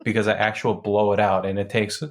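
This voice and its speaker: A man with a fairly low voice talks as a guest on a podcast. He comes from New Jersey and grew up with Polish as his first language. His delivery is casual and conversational. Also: little pitch movement, quick pace. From New Jersey, United States. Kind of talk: casual chat.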